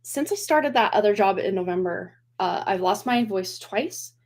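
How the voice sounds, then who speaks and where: A woman's voice: medium pitch, lively intonation, moderate pace, darkish tone. A woman in her forties hosting a tarot livestream, chatting casually and rambling with frequent laughs and restarts, her voice a little worn from recent voice loss. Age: 40s. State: recovering from lost voice, slightly worn.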